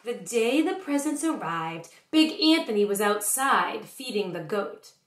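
A middle-aged woman reading a picture book aloud to young children in an expressive teacherly way, pausing to address her listeners. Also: medium pitch, noisy background. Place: small bedroom, indoors. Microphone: webcam or laptop at arm's length. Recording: roomy home webcam recording.

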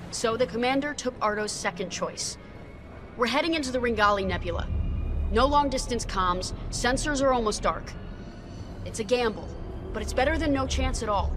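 A woman's voice: on the high side, natural voice. A woman acting an earnest, worried rebel starfighter pilot in a video game, speaking in a candid, serious way. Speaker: fighter pilot.

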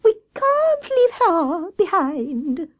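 Woman doing a squeaky, excitable little squirrel. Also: drawn-out words, heavily acted. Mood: plaintive. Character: small, excitable, tender-hearted squirrel. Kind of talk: children's storybook character voice.

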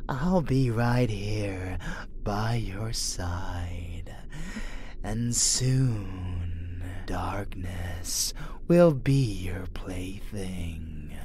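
Casting: unhinged male vampire lover for ASMR roleplay, played by a woman, very low, drawling, whispery, teasing menace. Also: a little mellow, a little hiss or hum, full character voice. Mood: teasing, seductive.

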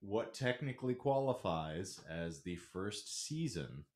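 A man's voice: sits low, expressive, takes it slowly, darkish tone. A man hosting a casual podcast in a wordy, theatrical, playful manner. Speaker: podcast host. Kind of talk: casual podcast banter with florid sign-offs. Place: small home room with couch, indoors. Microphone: podcast mic on a stand. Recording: roomy, echoey living-room sound.

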